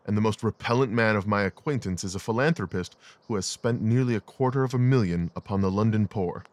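A man playing a brilliant, coolly rational gentleman detective in an audio drama, speaking in a precise, clipped, analytical way. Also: fairly low pitch, fairly quick, no echo, natural voice. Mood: cool, detached.

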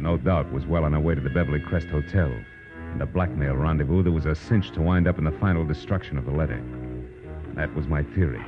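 An actor playing a tough, wisecracking private detective in a 1940s radio drama, with clipped, sardonic delivery. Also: male voice, a bass voice. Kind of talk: 1940s radio drama acting and first-person narration. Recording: muffled old radio recording, music behind.

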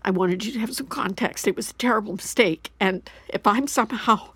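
A woman's voice: medium pitch, brisk, fairly bright tone, slightly rough, no echo. A woman playing an anxious, guilt-ridden older mother in an audio drama, talkative, earnest and pushy in a rambling way. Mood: tearful, guilty. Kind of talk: naturalistic audio drama acting.

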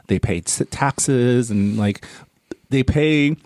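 A man's voice: medium pitch, takes it slowly, darkish tone, dry recording. A man with a North American accent talking casually and reflectively in a discussion, often checking in with a questioning tag. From born in Canada, grew up in America. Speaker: book discussion panelist.

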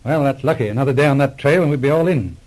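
An actor playing a brisk, commanding Mountie sergeant with a husky voice in a 1940s radio adventure. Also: male voice, medium pitch, a little mellow, close to an everyday voice, low-quality recording. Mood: intrigued. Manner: decisive, exclamatory. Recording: old 1940s radio recording.

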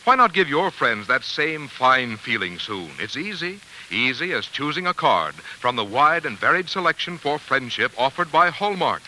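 A man's voice: medium pitch, big pitch swings, normal speed, hardly any pauses, pushed and bright, poor audio. A man announcing commercials in polished, folksy 1940s radio style, selling directly to the listener. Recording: hissy old radio broadcast.

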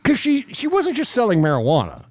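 A man hosting a podcast, chatting casually about politics in a mocking, sweary, animated way. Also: extremely high pitch, fairly quick, no room sound. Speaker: podcast host. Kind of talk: casual political comedy chat.

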